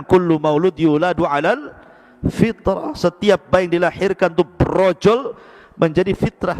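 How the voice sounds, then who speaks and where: Guy preaching, high voice, lots of reverb, swings up high then drops low and slow for recitation. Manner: animated, swings between high emphatic lines and low slow Arabic recitation. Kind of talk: sermon to a congregation. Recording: echoey hall.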